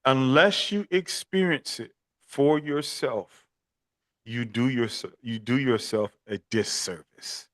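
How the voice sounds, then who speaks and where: A man's voice: mid-range voice, takes it slowly, no room sound, clean studio sound. A man from Miami speaking as a podcast guest on equine therapy, earnest and prone to repeating words as he starts a thought. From Miami, Florida. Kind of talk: casual interview talk.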